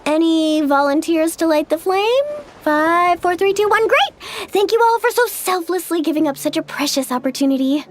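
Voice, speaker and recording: A woman's voice: very high-pitched, normal speed, slight background noise. A woman voicing a bubbly, big-hearted, party-loving young girl in an anime-style game, breezy and playfully bossy. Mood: playful, commanding. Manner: breezy, playful, take-charge.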